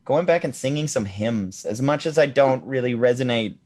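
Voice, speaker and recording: A man with a deep voice co-hosting a podcast in casual chat, hesitant and full of ums and likes. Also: animated, moderate pace, a little mellow. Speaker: podcast co-host.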